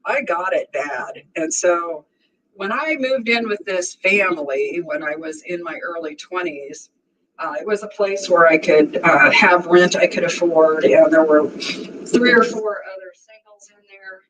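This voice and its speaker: A woman's voice: lowish voice, a little slow, low-quality recording. Woman in her sixties, strong voice, casual chatty livestream host, laughs easily. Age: 60s. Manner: chatty and warm, laughs often.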